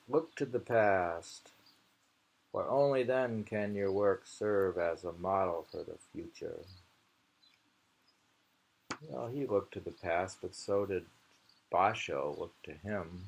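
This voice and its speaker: A man reading poetry slowly and commenting on it casually, in an unhurried, musing and informal manner. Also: fairly low pitch, a little mellow, low-quality recording. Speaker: poetry reader.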